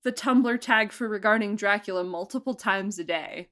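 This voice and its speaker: A woman's voice: mid-range voice, normal speed, a bit bright. A woman speaking casually as a producer in an interview, effusive and emphatic, with a joking way of talking. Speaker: audio drama producer and director being interviewed. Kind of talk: casual behind-the-scenes interview.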